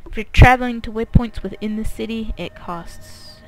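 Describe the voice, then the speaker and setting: A woman's voice: fairly high pitch, moderate pace, faint music behind, rough recording. A woman giving casual gameplay commentary, thinking aloud informally with her mouth very close to the microphone. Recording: loud noise, breathy mouth-on-mic clicks.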